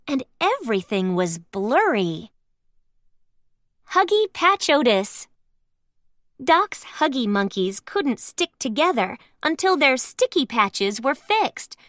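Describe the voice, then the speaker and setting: A woman's voice: sits high, fairly bright tone, no echo, clean studio sound. A woman narrating a children's read-aloud storybook, expressively sounding out each phrase.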